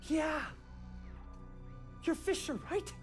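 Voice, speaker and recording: An actor playing an eager, nervous tech geek in a video game, chatty and stammering. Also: male voice, very high-pitched, slow, soft background music. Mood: eager, starstruck. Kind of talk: video game cutscene acting.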